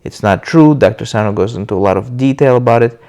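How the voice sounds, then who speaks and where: A man's voice: mid-range voice, fast talker. A man with a stuffy voice from a cold, talking casually to the camera and hosting his own channel about recovery. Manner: relaxed, loose, with many uh fillers and restarts. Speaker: video host sharing his recovery.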